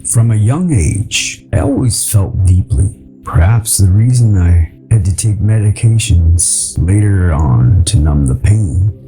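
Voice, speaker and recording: A man reading a memoir and poetry as an audiobook, in a measured, reflective way with dramatic pauses. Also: sits low, dark tone. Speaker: audiobook narrator.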